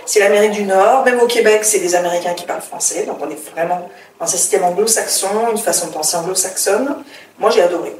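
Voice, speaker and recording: A woman's voice: medium pitch, quick pace, darkish tone. A middle-aged woman from France, chatting as an interview guest and telling her story with frequent hesitations and restarts. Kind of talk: casual interview talk. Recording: roomy, distant mic, faint background hiss.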